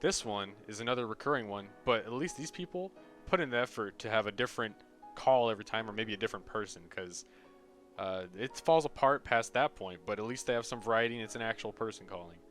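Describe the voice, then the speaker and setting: A young American man delivers casual comedic commentary with a sarcastic edge. He swears freely, and soft background music sits under his voice. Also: lowish voice, fast talker. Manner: sarcastic, profane. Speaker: video creator.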